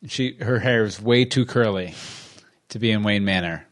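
A man's voice: on the low side, moderate pace. A man co-hosting a casual episode commentary, tossing out wry, joking asides.

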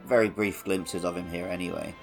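A man's voice: sits low, fairly even intonation, fairly quick, loud music behind the voice. A man co-hosting a podcast, talking through a video game in an informal, explanatory way. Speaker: podcast co-host. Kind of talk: casual discussion of a video game.

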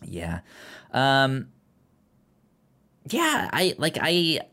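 A man co-hosting a casual film discussion, talking haltingly with repeated words and false starts. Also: medium pitch, huge pitch swings, sharp tone. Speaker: podcast co-host.

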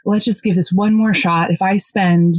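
A woman from the United States, a podcast guest, telling her story quickly and excitedly. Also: mid-range voice, no room sound. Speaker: interview guest. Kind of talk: casual podcast interview.

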